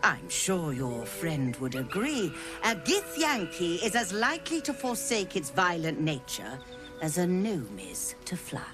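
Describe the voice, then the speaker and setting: An actress voicing a haughty, scheming merchant woman who talks theatrically and flatters to get her way. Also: fairly low pitch, unhurried, music in the background. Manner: theatrical, wheedling, affected.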